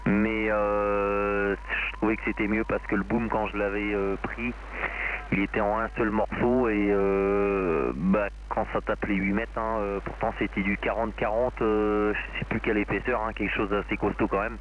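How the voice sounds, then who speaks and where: A man's voice: fairly low pitch, little pitch movement, moderate pace. A man from France chatting casually and hesitantly as an amateur radio operator, rambling through his thoughts. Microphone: amateur radio link. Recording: dull, noisy amateur radio transmission.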